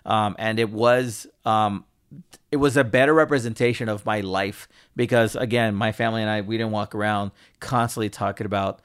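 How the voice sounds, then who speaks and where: An American man hosting a casual interview, telling stories in an animated, loose, sweary way. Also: on the low side, normal speed, clean tone. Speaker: podcast host and interviewer. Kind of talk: casual chat.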